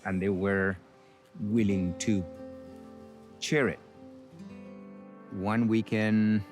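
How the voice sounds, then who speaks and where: A middle-aged man with a Costa Rican accent and a fairly low voice, speaking as a river guide in a documentary voice-over. His delivery is relaxed and reflective, with casual fillers here and there. Music plays clearly underneath him. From Costa Rica.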